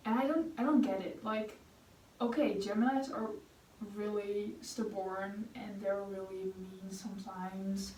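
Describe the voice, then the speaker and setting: A woman's voice: medium pitch, fairly even intonation, a little slow, dark tone, echoey room, recorded from a distance, a little hiss or hum, rough recording. A young woman from the Netherlands with a Dutch accent, chatting casually and rambling while she paints for her vlog. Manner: chatty and rambling, with fillers and self-corrections. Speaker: vlogger.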